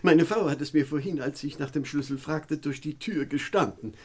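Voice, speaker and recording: An actor playing a genteel, long-suffering professor in a comic radio crime play, theatrical and courtly, easily exasperated. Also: male voice, a little high, moderate pace, a little mellow, natural voice. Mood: wry, weary.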